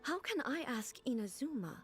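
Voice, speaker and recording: A woman playing a solemn, regal immortal ruler in a game, speaking in a formal, measured, contemplative way. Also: sits high, sing-song. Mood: solemn, reflective. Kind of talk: dramatic scripted game dialogue. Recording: background music.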